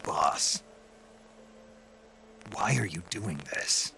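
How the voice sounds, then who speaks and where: Gravelly, very slow-spoken soldier. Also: male voice, deep voice, animated, pushed and bright, soft background music, heavily acted. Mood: troubled. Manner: terse, halting. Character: gruff, terse male soldier, loyal pupil. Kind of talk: video game cutscene acting.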